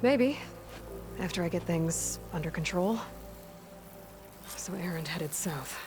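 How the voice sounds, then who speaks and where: Focused young warrior heroine. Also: female voice, fairly low pitch, lively intonation, takes it slowly, music in the background. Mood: businesslike. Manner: direct, matter-of-fact. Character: young woman warrior, focused, businesslike, determined. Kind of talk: video game character dialogue.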